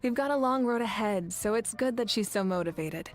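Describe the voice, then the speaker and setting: A self-assured leader, played by a woman, with a calm and friendly way of speaking. Her voice is mid-range, and faint music runs behind. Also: medium pitch, brisk, lightly acted.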